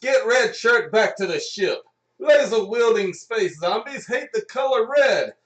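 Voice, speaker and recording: A man's voice: high voice, expressive, unhurried, fairly bright tone, distant mic, pitched above the performer's own voice. A man playing a bombastic, melodramatic space patrol captain in a live radio play. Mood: urgent. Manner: melodramatic, emphatic. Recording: echoey room, off the mic.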